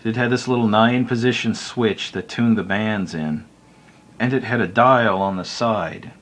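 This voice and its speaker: A man with a lowish voice presents a hobby explainer without a script. He rambles in a folksy, casual way and is happy to poke fun at himself. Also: moderate pace, a bit bright.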